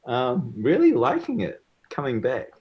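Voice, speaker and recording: A man whose first language is Cantonese chats over a video call in a loose, relaxed way, laughing easily between frequent ums. His pitch moves around a lot, rising and falling through his sentences. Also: measured pace, dull audio. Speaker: guest analyst. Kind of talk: casual video call chat.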